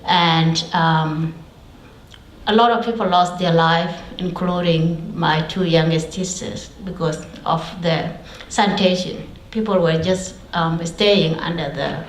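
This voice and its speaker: A woman in her forties from South Sudan giving a measured, matter-of-fact personal account in a public speech. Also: on the low side, fairly even intonation, roomy sound, slight background noise, rough recording. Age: 40s.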